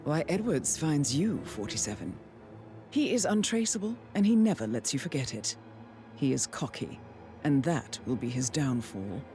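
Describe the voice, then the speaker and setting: A composed, scheming handler. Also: female voice, very deep voice, very animated, warm tone. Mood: assured. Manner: measured, confiding, controlled. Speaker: video game character. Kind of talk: cinematic game dialogue. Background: game soundtrack music.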